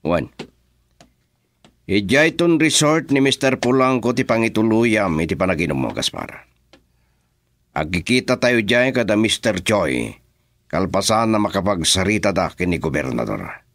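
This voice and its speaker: An actor playing a gruff, domineering boss in an Ilocano radio drama, brusque and scolding. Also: male voice, fairly low pitch, sing-song, normal speed, long pauses, fairly bright tone, no echo. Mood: brisk, bossy. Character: gruff, bossy male political operator barking at his driver.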